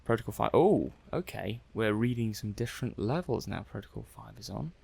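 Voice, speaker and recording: A man playing an affable, slightly flustered robot-testing technician in a soft-spoken ASMR roleplay, hesitant and musing aloud. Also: fairly low pitch, animated, fairly quick, vocal fry, husky, heavily acted. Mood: chatty, slightly flustered.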